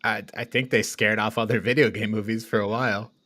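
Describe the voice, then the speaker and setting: A man's voice: lowish voice, fairly even intonation, a little fast, bright, forceful tone, no echo. A man co-hosting a casual film discussion, explaining his points conversationally. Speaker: podcast co-host.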